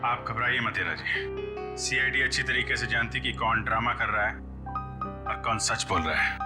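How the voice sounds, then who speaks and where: A man's voice: medium pitch, normal speed, natural voice. A male actor playing a stern, self-assured police investigator in a TV crime drama, pointed and accusing. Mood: confident. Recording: loud dramatic background music.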